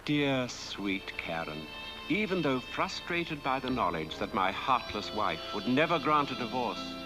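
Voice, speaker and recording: Smug rich husband: grand, drawn-out film monologue, very animated pitch, over music. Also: male voice, low-quality recording. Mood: tender, sly. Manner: theatrical, savouring, drawn-out delivery. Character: smug, wealthy dead husband reading his own will, theatrically tender.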